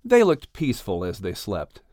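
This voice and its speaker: Expressive male storybook narrator. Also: fairly low pitch, moderate pace, no room sound, studio quality. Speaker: audiobook narrator. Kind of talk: scripted narration.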